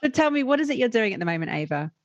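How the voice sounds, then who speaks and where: A woman's voice: on the high side, very animated, no echo, dull audio. Upbeat woman hosting a podcast. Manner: upbeat, encouraging, quick to praise. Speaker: podcast host. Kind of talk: podcast interview.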